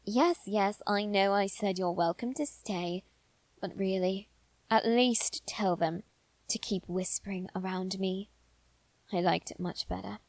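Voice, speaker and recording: A woman's voice: medium pitch, unhurried, no room sound, lightly acted. A woman voicing a haughty, impatient young master of a manor in a scripted ASMR roleplay, speaking in a clipped, commanding way. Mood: exasperated, impatient.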